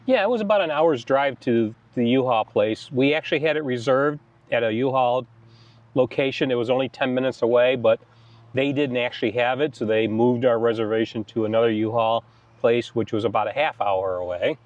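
A man's voice: medium pitch, fairly quick, no echo, dull audio. A middle-aged American man casually recounting a trip as a travel vlog host, speaking outdoors in the open air.

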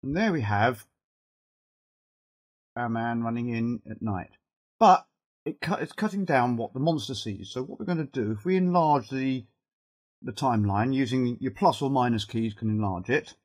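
A middle-aged man with a cold and a fading, husky voice, talking haltingly through an informal step-by-step software tutorial and thinking aloud as he works. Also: medium pitch, animated. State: has a cold, losing his voice. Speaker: video editing tutorial presenter.

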